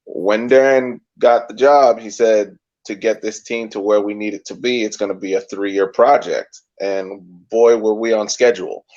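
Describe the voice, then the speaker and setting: A man's voice: on the low side, fairly even intonation, moderate pace, hardly any pauses, a little mellow. A man co-hosting a casual sports podcast, building his points step by step. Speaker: podcast co-host. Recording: dull lo-fi sound.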